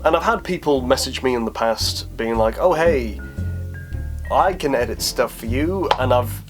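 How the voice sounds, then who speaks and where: A man with a mid-pitched voice chats informally as a video creator taking questions. He thinks aloud and speaks in a self-deprecating, conversational way. Music plays clearly behind him. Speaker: YouTube creator answering viewer questions. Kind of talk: casual Q&A vlog talk.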